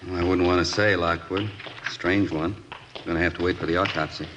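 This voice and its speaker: A brisk American hospital doctor, played by a male actor with a low voice. He reports in clipped, matter-of-fact phrases in the style of 1950s radio drama. The old recording sounds slightly muffled. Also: fairly even intonation, noisy background, natural voice.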